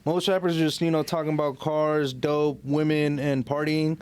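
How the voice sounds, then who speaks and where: A man's voice: on the high side, flat delivery, moderate pace, darkish tone, clear, resonant tone, dry recording. An American man chatting in a slangy, conversational way as a podcast co-host. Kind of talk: casual podcast banter.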